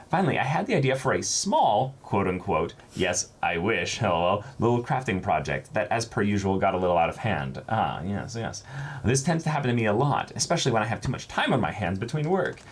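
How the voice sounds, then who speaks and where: Low-pitched man, lively and expressive. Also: breathy edge. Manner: halting, repetitive when ad-libbing, expressive when reading aloud. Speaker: co-host of a reaction channel. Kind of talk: casual unboxing chat and reading letters aloud. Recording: faint background noise.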